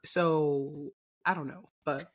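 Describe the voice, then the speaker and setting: Woman co-host, deep hoarse voice from a cold, laid-back casual chat. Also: steady tone, slow. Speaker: podcast co-host.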